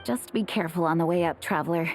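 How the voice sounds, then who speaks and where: A woman voicing an earnest, courteous young leader in a video game, gentle and thoughtful in manner. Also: lowish voice, fast, soft background music, natural voice. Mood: bemused. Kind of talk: video game character acting.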